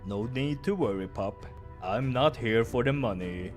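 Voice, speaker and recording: Man voicing a slow-talking kindly bear doctor. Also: mid-range voice, lively intonation, faint music behind, lightly acted. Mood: reassuring. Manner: slow, reassuring, paternal.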